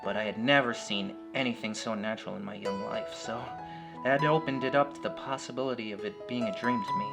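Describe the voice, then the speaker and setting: A man narrating a first-person horror story in a conversational, somewhat halting way. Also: fairly low pitch, creaky. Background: loud plucked guitar music.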